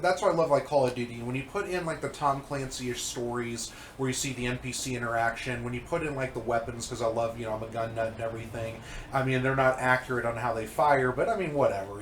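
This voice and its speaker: A man's voice: mid-range voice, fairly bright tone, reverberant, slight background noise. A congested man rambling casually about old games, with many fillers and restarts. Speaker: co-host reviewing old magazines. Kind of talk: casual chat.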